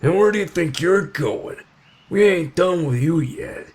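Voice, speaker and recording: A man's voice: a little high, expressive, a little slow. A man acting in an ASMR roleplay as a cocky young street bully, taunting and swaggering. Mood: cocky, menacing.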